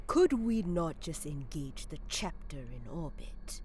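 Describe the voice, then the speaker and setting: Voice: mid-range voice, sing-song, takes it slowly, dark tone, a little hiss or hum. An actor as a cold, aloof sorcerer. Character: cold aloof sorcerer advising a renegade army. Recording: faint music under the voice. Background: faint dramatic music.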